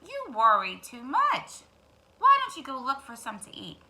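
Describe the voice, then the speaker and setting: A woman puts on a sensible, easygoing duck, a male storybook friend. The voice is high and bright, with emphatic, questioning delivery. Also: huge pitch swings, unhurried, full character voice. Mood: cheerful, reassuring.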